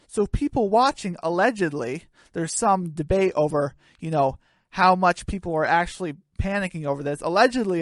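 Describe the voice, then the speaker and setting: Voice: medium pitch, no room sound. A narrator reading a homemade radio play as scripted storytelling.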